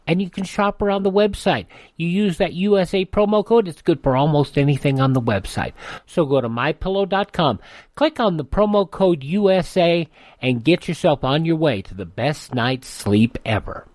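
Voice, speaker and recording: A man's voice: fairly high pitch, lively intonation, normal speed, darkish tone, no room sound. A man with an American accent, a radio host reading an advertisement in a folksy, salesy way.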